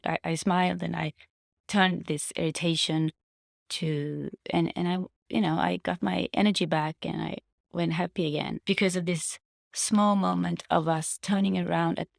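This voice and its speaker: A woman giving a casual, reflective personal monologue to viewers, halting as she searches for words and restates her phrases. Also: lowish voice, creaky, dry recording, clean studio sound. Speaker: vlogger sharing personal reflections.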